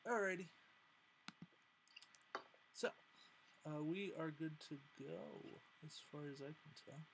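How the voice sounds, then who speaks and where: A man's voice: on the high side, takes it slowly. A man hosting a tabletop miniatures game stream, talking casually and thinking aloud with hesitations as he goes. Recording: clear background noise.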